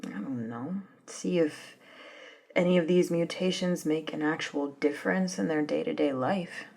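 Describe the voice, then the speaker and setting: A woman playing an eager, polite female office and lab assistant in a soft-spoken ASMR roleplay, with a breathy, murmuring, slightly hesitant delivery. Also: low voice, measured pace, heavily acted. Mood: curious, casual. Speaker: voice actor in scripted ASMR roleplay.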